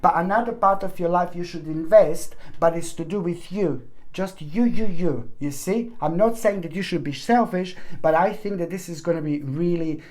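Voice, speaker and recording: A woman's voice: lowish voice, moderate pace, hardly any pauses, darkish tone. A woman talks through a tarot reading with earnest emphasis. She repeats her points, trails into fillers and restarts, and calls her viewers fond pet names. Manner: earnest, repeats herself, addresses viewers with endearments. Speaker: tarot reader. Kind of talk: weekly tarot reading monologue to camera.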